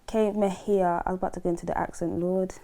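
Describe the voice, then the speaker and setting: A woman's voice: on the low side, fairly even intonation, brisk, warm tone, a little hiss or hum. A woman in her twenties, born in Trinidad and Tobago, chatting as a guest, joking loosely and laughing between words. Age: 20s. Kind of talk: casual chat.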